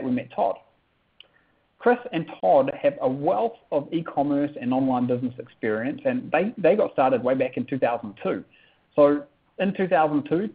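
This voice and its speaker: A man's voice: medium pitch, slightly rough. A man in his thirties with a New Zealand accent, hosting an upbeat sales webinar and often tagging lines with "okay?". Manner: upbeat, keeps asking for chat replies, tags lines with "okay?". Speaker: webinar presenter. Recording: tinny phone-like audio.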